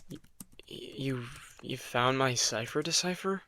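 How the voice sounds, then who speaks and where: A man playing a drained, reluctant vampire in an immersive audio drama, speaking in terse, halting, stammering phrases. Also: medium pitch, pushed and bright, dry recording. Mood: weary, bewildered.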